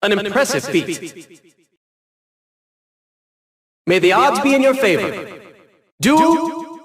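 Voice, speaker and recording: High-pitched smug genius villain. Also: male voice, sing-song, measured pace, fairly bright tone, echoey room, heavily acted. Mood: smug encouragement. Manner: verbose, precise, haughty theatrical diction. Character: small, prim, eccentric genius villain, smug and condescending. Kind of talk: theatrical video game voice acting.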